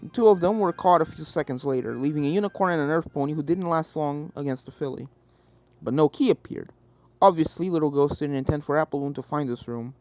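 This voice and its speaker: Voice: medium pitch, quick pace, mellow. A reader giving scripted narration of a fan fiction story. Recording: game music behind the voice.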